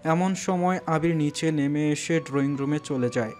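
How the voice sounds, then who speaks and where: A man's voice: fairly high pitch, moderate pace, a little mellow. A man narrating a romantic audio story in a dramatic, scripted style. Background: background music.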